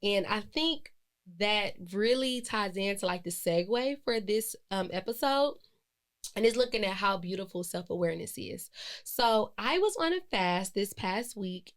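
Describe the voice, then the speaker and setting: A young woman in her twenties talks through a solo podcast episode in a loose, reflective style. She rambles a little, corrects herself, and fills pauses with "um" and "like". Her voice sits at an ordinary middle pitch. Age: 20s. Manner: chatty and reflective, with frequent fillers and self-corrections. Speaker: podcast host. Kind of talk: casual solo podcast monologue.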